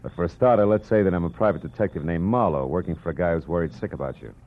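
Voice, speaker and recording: A man's voice: low voice, racing. A man playing a laconic, wisecracking private detective who narrates in a 1940s radio drama. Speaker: hardboiled private eye narrator. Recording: dull old radio recording with music.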